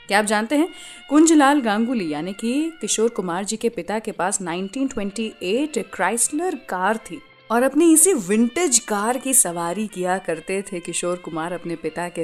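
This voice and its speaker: A woman with an Indian accent hosting a radio show, telling stories in a scripted but conversational way, putting questions to listeners and mixing in English phrases. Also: fairly high pitch.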